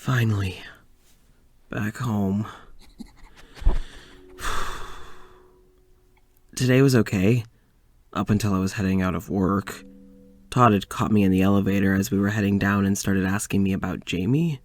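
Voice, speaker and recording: A man playing an uneasy, weary scientist in an audio drama, confiding to a recorder in a halting way. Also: on the low side, fairly even intonation, lightly acted. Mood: weary, troubled. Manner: hesitant, halting, sighing. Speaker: fiction podcast lead actor. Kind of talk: audio drama, confessional monologue.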